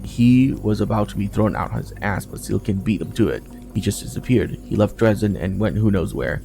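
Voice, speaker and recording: Man reading as a blunt, low-voiced soldier. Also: normal speed, creaky, mellow, soft background music, low-quality recording. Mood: blunt gossip. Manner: colloquial, blunt. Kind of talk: game dialogue read aloud.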